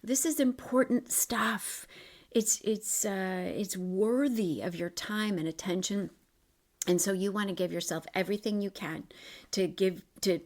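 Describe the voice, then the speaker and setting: A woman coaching listeners step by step through an exercise, encouraging and reassuring, repeating key points for emphasis. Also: mid-range voice. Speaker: coach guiding a self-reflection exercise. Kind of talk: instructional coaching talk, step by step.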